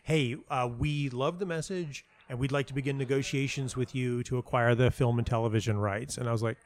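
A man's voice: mid-range voice, fairly even intonation, a little fast. Stammering middle-aged man telling anecdotes. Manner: stammering, repeats words as he goes; animatedly acts out quoted dialogue. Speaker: interview guest, playwright and audio dramatist. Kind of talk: conversational anecdotal storytelling.

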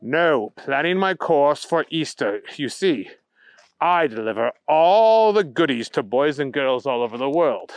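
Man playing a high-pitched, indignant, pompous storybook bunny. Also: lively intonation, unhurried, smooth, no echo. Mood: prim, matter-of-fact. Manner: prim, formal, lecturing. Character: indignant, fussy, pompous talking Easter Bunny, male. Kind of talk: children's storytelling character voice.

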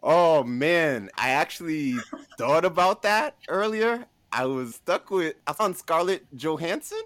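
Emphatic, playful man, slightly high voice. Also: expressive, measured pace, a bit bright. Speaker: podcast guest. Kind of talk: casual comedic list pitch.

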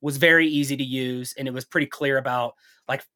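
A man's voice: medium pitch, fairly even intonation, a little fast, pushed and bright. A man speaking native English in a casual chat as a podcast guest, telling things in a rambling, storytelling way.

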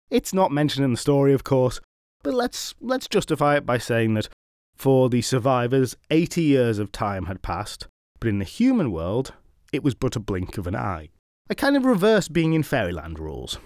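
A man's voice: medium pitch, big pitch swings, normal speed, darkish tone, no echo, clean studio sound. A man hosting a podcast, retelling an old myth in a chatty way with wry, playful asides. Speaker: podcast host and storyteller.

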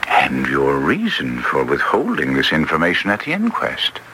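An actor playing a cool, skeptical detective with a dry, clipped, deliberate manner in old radio drama style. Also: male voice, extremely low pitch, wildly swooping pitch, bright, forceful tone, full character voice. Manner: clipped, deliberate, dryly questioning. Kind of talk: 1940s radio drama acting. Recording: noisy old radio broadcast recording.

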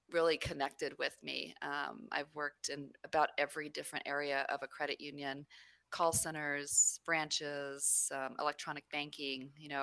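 A woman's voice: sits low, sharp tone, no echo. A woman from New Mexico, a credit union CEO, answering interview questions in a rambling way with many fillers.

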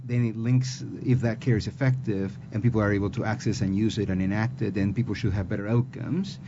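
Low-voiced Peruvian man, patient explainer on a panel call, dull lo-fi line with clear hum. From Peru. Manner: thoughtful, explanatory, with many fillers. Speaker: physician researcher. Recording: dull lo-fi audio with background hum.

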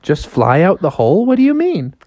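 A woman voicing an excitable young male frog in a storybook tale, exclaiming and repeating words in casual slang. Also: lowish voice, brisk, no echo, muffled recording, voice pushed up. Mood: puzzled.

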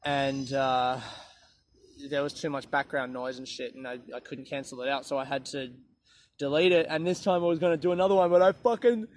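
A comedian rambling through a sweary, self-mocking podcast monologue while walking outdoors. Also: male voice, mid-range voice, fairly even intonation, fairly quick.

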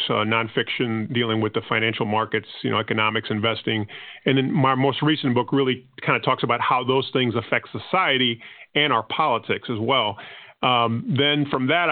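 Guest: man from Panama, Spanish native, mid-pitched, dry tinny phone sound, measured and hesitant. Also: dry recording. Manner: measured, with frequent fillers and restarts. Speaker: guest, finance consultant. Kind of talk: podcast interview, explaining his background. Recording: tinny phone-line audio.

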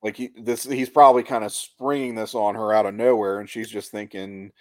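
A man's voice: lowish voice, little pitch movement, fairly quick, a little mellow, dry recording. A man co-hosting a podcast, casually analyzing a TV show in a reflective, colloquial way. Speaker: podcast co-host.

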